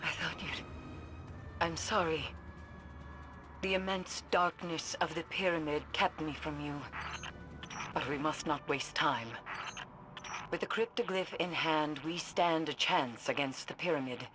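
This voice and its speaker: An actress playing a grim, haunted mystic warrior in a video game, speaking solemnly and formally. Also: female voice, sits low, a bit bright, faint music behind. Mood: solemn urgency. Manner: solemn, ominous, deliberate, formal phrasing. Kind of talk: dramatic video game acting.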